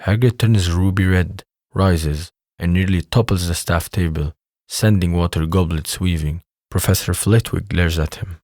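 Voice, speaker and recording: A man's voice: low-pitched, fairly even intonation, measured pace, a little mellow, no room sound, clean studio sound. A man reading scripted narration for an audio drama as its narrator.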